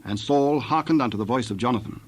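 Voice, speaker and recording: Medium-pitched male Bible narrator. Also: breathy edge. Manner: measured, formal storytelling.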